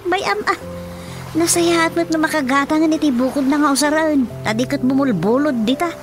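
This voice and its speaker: A woman's voice: sits high, brisk, lightly acted. A woman acting a fretful, complaining village woman with an Ilocano accent in a radio drama comedy. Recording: music bed behind the voice.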